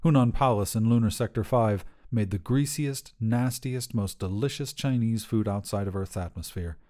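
A man's voice: on the low side, normal speed, dark tone. A man reading a scripted short story as a podcast narrator.

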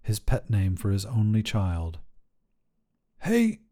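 A man's voice: medium pitch, animated, a little slow, warm tone. A man narrating scripted short fiction for a podcast.

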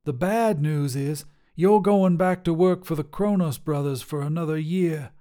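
A man's voice: a little high, a little slow, mellow, voice pushed up, natural voice. A man doing a sly demon woman trucker. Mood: sly teasing. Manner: drawling, teasing, folksy. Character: wiry gravel-voiced woman trucker demon, mocking.

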